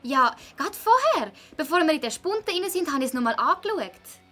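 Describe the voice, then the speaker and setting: A woman playing an eager, cheeky young girl detective in a children's audio drama, with a Swiss German accent and a lively delivery. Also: high-pitched, moderate pace, faint music behind. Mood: puzzled.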